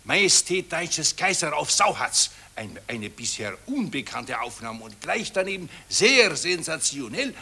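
An actor playing an obsequious, pushy court photographer in a satirical radio play, with a fawning, insistent manner. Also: male voice, fairly high pitch, sing-song, measured pace, hardly any pauses, sharp tone, breathy edge, heavily acted. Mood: boastful pitching. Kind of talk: satirical radio drama acting.

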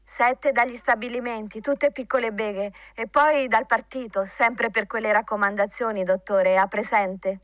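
A dutiful office secretary in a radio play, played by a woman. Her voice is fairly high, with a businesslike, defensive delivery. The sound is dry and a little dull. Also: steady tone, unhurried, hardly any pauses, dry recording, lightly acted. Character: dutiful, slightly defensive female secretary to a boss. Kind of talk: radio drama acting.

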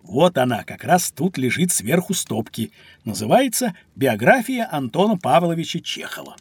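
A man's voice: on the high side, very animated, moderate pace, breathy edge, dry recording. A man playing a kindly, hard-working uncle who explains things to children in an educational audio drama. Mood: kindly, explaining.

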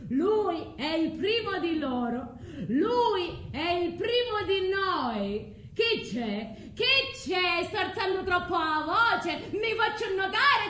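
A woman's voice: high voice, fairly bright tone, heavily acted. In an echoey room with faint noise, a furious scorned immigrant wife with a southern Italian accent. Her delivery is theatrical and impassioned, swinging dramatically from bitter muttering to defiant outcry. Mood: agitated, mocking. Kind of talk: theatrical monologue in mixed dialect.

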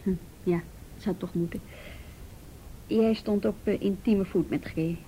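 Brisk, persistent policewoman played by a woman, dark mellow voice, dry questioning, radio play with noisy rumble. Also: steady tone, close to an everyday voice. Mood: gently insistent. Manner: probing, matter-of-fact, dry. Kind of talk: radio drama acting.

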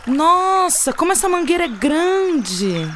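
A woman playing a caring, patient aunt in a children's audio drama, expressive and explanatory. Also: extremely high pitch, takes it slowly, a little hiss or hum, full character voice. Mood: amused.

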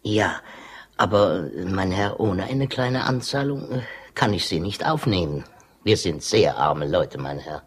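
An actor in a German radio drama playing a sly, servile slum landlord, husky and hesitant, obsequiously humming and halting. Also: male voice, low-pitched, expressive, heavily acted. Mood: servile, haggling.

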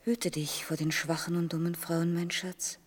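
An actress in a German radio play as a frail, motherly middle-aged woman, half-whispering haltingly in a breathy, intimate murmur. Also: fairly low pitch, level tone, lightly acted. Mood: murmured, confiding.

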